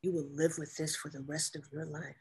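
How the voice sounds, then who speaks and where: An actress in a dramatic script reading, playing a female naval officer who is a steady, protective caretaker, with a breathy, husky voice. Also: deep voice, fairly quick, full character voice. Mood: stern. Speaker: actress at a table read.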